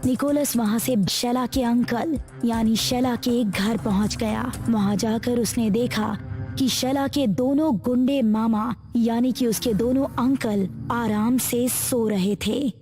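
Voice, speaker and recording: A woman's voice: fairly high pitch, fairly even intonation, slow, warm tone, soft background music. A woman narrator reading a scripted horror story.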